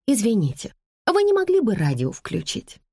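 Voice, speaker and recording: Woman acting a shrill, exasperated mother. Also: sing-song, normal speed, a little mellow, slightly rough, no room sound, clean studio sound. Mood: curt. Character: overwrought, haughty, snappish mother at breaking point.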